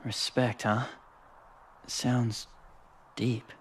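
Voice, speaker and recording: A man's voice: mid-range voice, flat delivery, very slow, slight background noise. An actor playing an earnest, protective young man with a casual, plain-spoken way of talking in a video game. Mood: casual, grudging.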